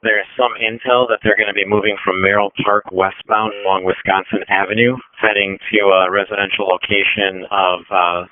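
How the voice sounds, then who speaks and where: A man coordinating air operations over a police two-way radio, speaking procedurally with halting pauses. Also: fairly low pitch, flat delivery, clear, resonant tone, no room sound. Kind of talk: radio dispatch traffic. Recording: narrowband, dull police radio transmission.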